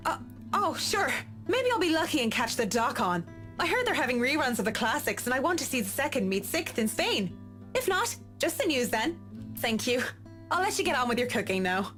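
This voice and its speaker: A woman's voice: high-pitched, big pitch swings, normal speed, a bit bright, faint music behind. A woman voicing a polite, jittery young working woman in a visual novel, speaking hesitantly. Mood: polite, awkward. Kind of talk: visual novel game voice acting.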